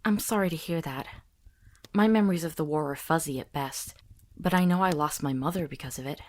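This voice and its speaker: A woman's voice: low-pitched, brisk, darkish tone, lightly acted. A woman playing a timid, curious and polite young traveling companion in a visual novel. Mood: gentle, curious. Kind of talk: scripted visual novel drama.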